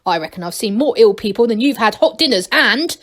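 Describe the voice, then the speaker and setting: A stubborn old gnome granny from a children's story, put on by a woman. The voice is fairly high, blunt and scornful, with proud no-nonsense delivery. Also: animated, fairly quick.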